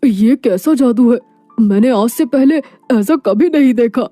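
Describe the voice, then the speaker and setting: Woman acting a mellow, humble poor husband. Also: on the high side, measured pace, no echo, faint music behind. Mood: wondering. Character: humble, proud, kindly poor toymaker husband. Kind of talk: animated moral story dialogue.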